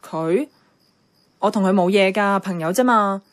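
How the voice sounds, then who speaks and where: An actress playing a caring, affectionate and slightly evasive niece in a Hong Kong Cantonese radio drama, conversational and soft-hearted. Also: female voice, mid-range voice, a little slow, close to an everyday voice. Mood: concerned, evasive.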